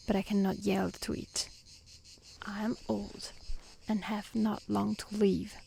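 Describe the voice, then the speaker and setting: A woman voicing a kind, resigned old merchant father in a soft, mournful storybook reading. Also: medium pitch, gravelly, a little hiss or hum.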